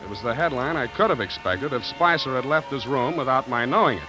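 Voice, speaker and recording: An actor playing a wry, deadpan hard-boiled private detective in 1940s radio noir style. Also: male voice, medium pitch, a little fast, close to an everyday voice, rough recording. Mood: wry narrating. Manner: deadpan, sardonic. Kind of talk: 1940s radio noir acting and first-person narration. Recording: vintage radio broadcast, music underneath.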